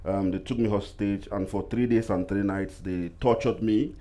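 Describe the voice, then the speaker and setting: A man from Cameroon with a Cameroonian accent, a guest at a live talk, telling a personal story in a dramatic, vivid way and slowing down for weight. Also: lowish voice, steady tone, mellow. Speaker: panel guest.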